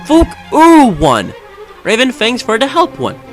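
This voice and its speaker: A man's voice: extremely high pitch, wildly swooping pitch, measured pace, faint music behind, pitched above the performer's own voice, exaggerated voice. A man voicing an angry, defiant teenage girl author ranting petulantly in a fan-fiction reading.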